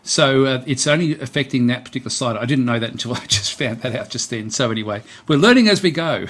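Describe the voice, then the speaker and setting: A man giving a casual, unscripted software tutorial, hesitant and thinking aloud as he presents. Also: mid-range voice, lively intonation, fast.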